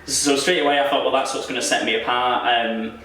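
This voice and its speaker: A young man talks quickly in a loose, rambling way, often poking fun at himself and laughing. His voice sits at an ordinary pitch but comes from some distance off the mic, and it bounces around a very echoey room. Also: steady tone, sharp tone, slight background noise. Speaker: wrestler interviewee. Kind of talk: casual interview chat.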